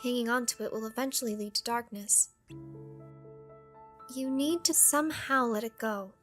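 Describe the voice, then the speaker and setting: A woman playing a gentle but firm, mysterious young female master and fighter in a video game fan dub. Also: on the high side, normal speed, close to an everyday voice. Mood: gentle, composed. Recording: music under the voice.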